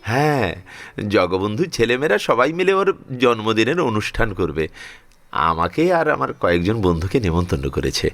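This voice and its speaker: A man's voice: lowish voice, big pitch swings, moderate pace, a bit bright. An actor playing an affectionate, fussing father-in-law of sixty-five in a Bengali audio drama, emphatic and repeating his words as he scolds protectively. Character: affectionate, fussing 65-year-old father-in-law, devout household elder.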